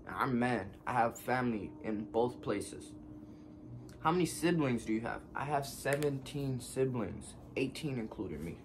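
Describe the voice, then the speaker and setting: A man's voice: medium pitch, expressive, unhurried, slight background noise, low-quality recording. A man in his twenties reading fan questions aloud and answering them offhand in a casual home vlog from his couch. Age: 20s. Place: living room, seated on a couch.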